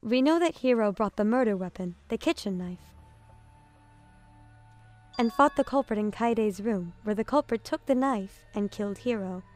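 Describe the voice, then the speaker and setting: A woman's voice: mid-range voice, expressive, normal speed, darkish tone, soft background music, lightly acted. A woman voicing a composed, serious student reasoning through evidence in an anime fan dub.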